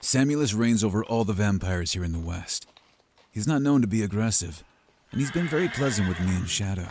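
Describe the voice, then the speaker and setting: A man's voice: fairly low pitch, animated, fairly quick, background noise. A man playing an expert, easygoing hunter who talks in a casual, matter-of-fact way in a fantasy audio roleplay. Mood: informative, steady. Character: expert male hunter, easygoing, loyal, practical team partner. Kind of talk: scripted fantasy audio roleplay addressed to the listener.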